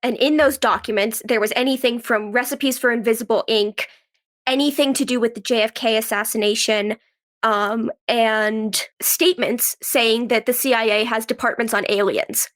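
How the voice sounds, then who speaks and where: A young woman with a fairly high voice speaks as a guest in an interview. She talks casually and conversationally, telling her story with plenty of "like" and little quotes of what she said or thought. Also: steady tone, moderate pace, fairly bright tone. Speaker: podcast guest, actress and writer.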